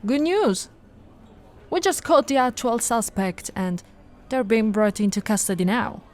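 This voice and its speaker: Policewoman played by a woman, patient and teasing, soft conversational delivery, unhurried pace, intimate ASMR roleplay. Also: on the high side, lively intonation. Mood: upbeat, explaining. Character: flirty, patient, teasing policewoman reassuring a suspect.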